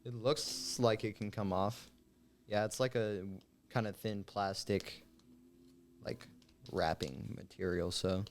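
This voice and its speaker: Teenage male, student guest, fairly low voice, casual chat. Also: fairly even intonation, takes it slowly, long pauses. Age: late teens. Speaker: high school student guest.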